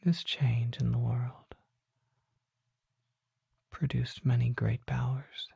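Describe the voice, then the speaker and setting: Very close and dry, a mysterious vampire apothecary, played by an ASMR performer, whispers in a husky, breathy voice with a crackle of fry. The learned figure speaks formally and deliberately, leaving measured pauses in a slow roleplay monologue. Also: mid-range voice, little pitch movement, dark tone, no echo, full character voice. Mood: hushed, mysterious. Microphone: close microphone.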